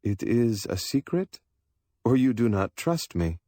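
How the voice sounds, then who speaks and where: A man's voice: medium pitch, big pitch swings, measured pace, mellow, no echo, studio quality, pitched above the performer's own voice. A man voicing a sinister thin stranger who speaks in a formal, faintly contemptuous way.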